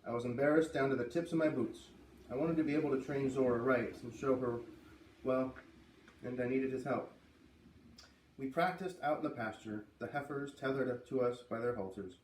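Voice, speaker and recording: A man reading a children's book aloud expressively, with dramatic pauses and emphasis. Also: mid-range voice, fairly even intonation, a little mellow. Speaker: storybook reader. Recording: roomy, slightly distant, faint background noise.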